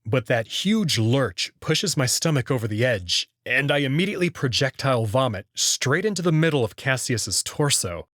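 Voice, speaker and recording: A man reading scripted first-person visual novel narration in an expressive, dramatic way. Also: mid-range voice, normal speed, few pauses, no echo, studio quality.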